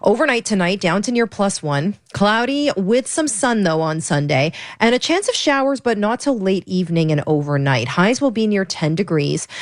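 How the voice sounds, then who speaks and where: A woman's voice: mid-range voice, no echo, clean studio sound. A woman delivering a radio weather forecast.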